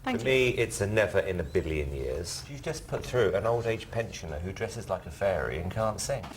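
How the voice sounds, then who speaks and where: A man's voice: low voice, lively intonation, normal speed, very noisy. A man judging a talent show, giving blunt, dry verdicts to contestants.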